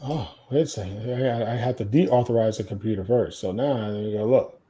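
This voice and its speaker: A man's voice: on the low side, brisk, mellow, slightly rough. American man chatting, repeating himself. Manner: repeats his phrases. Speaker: podcast co-host. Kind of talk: casual music chat.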